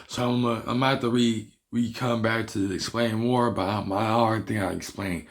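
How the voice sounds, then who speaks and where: A man from Barbados with a Bajan accent, hoarse from losing his voice, explaining a topic in a rambling, repetitive casual monologue as a video host. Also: lowish voice, steady tone, brisk. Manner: rambling, repeats himself and restarts words. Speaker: hoodoo practitioner and video host.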